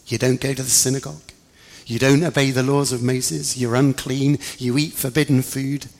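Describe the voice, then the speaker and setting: A man's voice: medium pitch, level tone, moderate pace, creaky, pitched above the performer's own voice, lightly acted. A scornful male disciple acted by a man in a Bible story, scolding and dismissive. His voice is pushed up from ordinary talk and rings in a reverberant hall. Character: scornful male disciple gruffly turning an outsider away.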